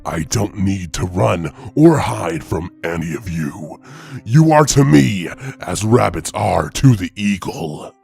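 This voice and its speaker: A man voicing a cold, arrogant male vampire lord with a deep, gravelly, slow voice. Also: lively intonation, darkish tone, soft background music, full character voice. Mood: contemptuous.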